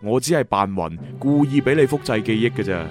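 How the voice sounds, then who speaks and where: Man acting animated, cocky Cantonese agent from the future. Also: medium pitch, brisk, close to an everyday voice. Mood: smug, explaining. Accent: Hong Kong Cantonese. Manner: animated, boastful storytelling. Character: cocky, boastful young male agent from the future. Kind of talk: radio drama acting. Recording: music behind the voice. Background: background music.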